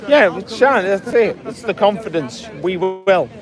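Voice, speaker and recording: Hoarse, high-voiced man rambling about football. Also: animated, moderate pace, music in the background, a crowd in the background. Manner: rambling, many fillers and restarts. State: hoarse, voice cracking. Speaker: podcast host. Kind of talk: casual post-match fan chat reading comments.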